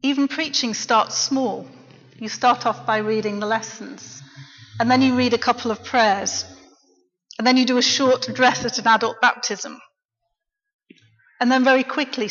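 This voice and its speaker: A middle-aged woman, a local preacher, giving a quiet, reflective personal testimony in church. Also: fairly high pitch. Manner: soft-spoken, reflective. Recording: dull, echoey church recording.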